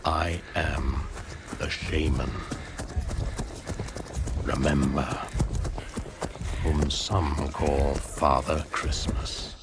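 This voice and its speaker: An extremely low-voiced ancient shaman intones slowly and portentously, like a dark pagan spirit of winter. It is a 1980s radio drama, heard through loud noise from an old broadcast recording. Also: flat delivery, heavily acted. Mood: incantatory, commanding. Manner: solemn, portentous, deliberate. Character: ancient ominous male shaman, pagan spirit of Father Christmas.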